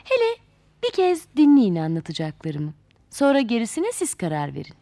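Children's storyteller, female, higher-pitched and very lively, theatrical delivery straight to young listeners, dry close recording. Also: normal speed, dry recording. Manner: theatrical, addresses children directly. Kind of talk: expressive fairy-tale narration.

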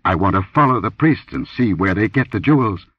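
A blunt barbarian warrior who grunts his commands without patience, played by a man reading a storybook. The voice is very low and husky, with a dry, muffled and slightly distant sound. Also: lively intonation, brisk, no echo. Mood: gruff.

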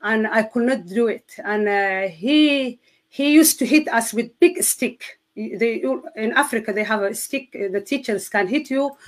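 A woman with a Somali accent tells her life story as a guest in an interview. Her English is not her first language, so she pauses and searches for words, but she keeps going in an earnest, steady way. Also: a little high. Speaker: guest sharing testimony. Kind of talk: personal testimony in an interview.

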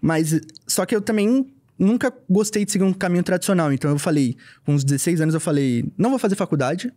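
Man in his twenties, Brazilian accent, highish voice, casual slangy storytelling, podcast guest, studio mic. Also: animated, quick pace, warm tone. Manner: informal, slangy. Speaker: podcast guest, filmmaker and content entrepreneur. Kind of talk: casual interview chat, personal storytelling. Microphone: close studio mic on boom arm.

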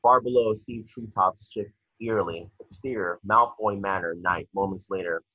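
A young man narrates a screenplay over a dull, dry video call. His voice is low but forceful, with a husky grain. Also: fairly even intonation, moderate pace, dry recording. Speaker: stage directions reader. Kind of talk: scripted narration of screenplay directions. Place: indoor room at home, on a video call.

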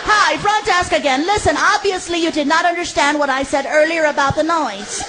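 A man's voice: very high voice, voice pushed up. A man voicing a polite but firm female hotel front desk clerk, prim and scolding, in a live comedy act. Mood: stern, scolding. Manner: prim, slow, scolding phone courtesy. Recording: live stage recording with noisy audience laughter.

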